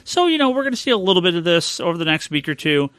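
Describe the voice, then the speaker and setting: An American man with a bright, forward voice talks through things as a podcast host. His pitch swings in a lively way, and he repeats phrases while thinking aloud. The sound is dry and close. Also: quick pace, smooth, no room sound. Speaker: podcast host, comic shop owner. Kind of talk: casual podcast discussion.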